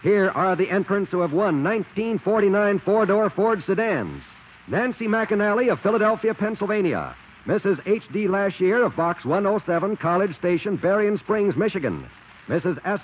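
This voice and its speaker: A man's voice: sits high, animated, normal speed, a little hiss or hum. An American man reading scripted announcements as a 1940s radio announcer. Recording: muffled 1940s radio recording.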